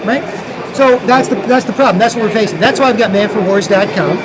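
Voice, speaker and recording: A man's voice: high-pitched, quick pace, highs cut off, over a noisy crowd. A Canadian man from Toronto vlogging outdoors, rambling, restarting often and tagging lines with "right?". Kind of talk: rambling street vlog monologue.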